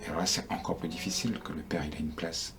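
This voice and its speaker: Deep-voiced male interviewer, chatty. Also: fairly even intonation, fast talker, creaky, over music. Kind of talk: news interview.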